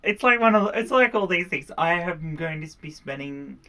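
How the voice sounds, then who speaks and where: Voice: medium pitch, lively intonation, normal speed, sharp tone. Chatty livestreamer. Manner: chatty, laughs often. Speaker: livestream host. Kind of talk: casual chat on a livestream.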